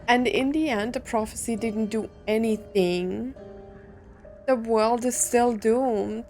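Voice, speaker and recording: A woman streaming a game in a mid-pitched voice, talking slowly and casually. She thinks out loud and puts questions to herself while music plays behind her. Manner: musing aloud, asking herself questions. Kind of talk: casual playthrough commentary.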